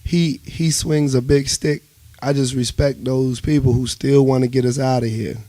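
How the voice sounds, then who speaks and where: A man's voice: mid-range voice, steady tone, normal speed, warm tone, no room sound. A man with a Washington, D.C. accent talking casually as an interview guest, telling things in colorful slang and everyday phrasing. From United States.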